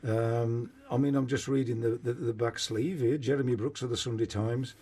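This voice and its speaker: Mellow, slightly husky male radio host. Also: mid-range voice, fairly even intonation, moderate pace. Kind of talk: conversational radio discussion.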